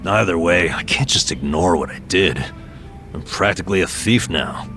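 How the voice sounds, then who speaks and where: An actor playing a worried, guilt-ridden, gruff down-and-out man in a video game dub, grumbling dryly to a friend. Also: low voice, animated, normal speed, over music. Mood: stressed, guilty.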